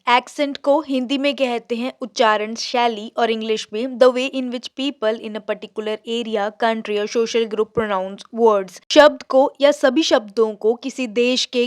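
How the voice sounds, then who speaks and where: Slow, clear-voiced woman teacher. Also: on the high side, dry recording, studio quality. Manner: reads English example sentences slowly and deliberately. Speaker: English teacher. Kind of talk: vocabulary lesson explaining words in Hindi.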